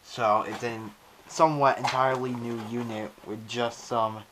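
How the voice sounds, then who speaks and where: A man casually narrating a hands-on unboxing video in halting, pieced-together phrases, recorded on an action camera. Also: medium pitch, flat delivery, background noise. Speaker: vlogger unboxing a weather radio. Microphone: action camera on head strap, later monopod.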